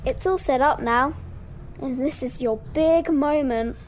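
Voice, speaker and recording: A helpful, friendly policewoman in an amateur radio play, acted by a girl. Her voice is very high and plain, with little disguise. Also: unhurried, a little hiss or hum. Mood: encouraging. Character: Jilly, Bob's helpful female police friend.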